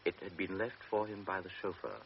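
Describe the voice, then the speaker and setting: A man narrating a radio drama in a measured, calm way. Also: low-pitched. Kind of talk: scripted narration. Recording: dull old radio recording with faint hiss.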